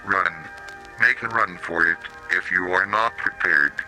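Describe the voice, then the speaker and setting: Synthetic male narrator for horror safety tape: robotic, slightly low, piercingly bright, mechanical delivery over music. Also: rough recording. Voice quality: robotic synthetic text-to-speech voice. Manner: flat, mechanical, odd pronunciations. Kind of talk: scripted analog horror public-safety narration. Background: slow music.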